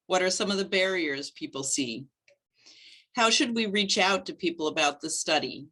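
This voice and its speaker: Bright-voiced woman study coordinator. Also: fairly low pitch. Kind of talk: scripted presentation. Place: living room at home.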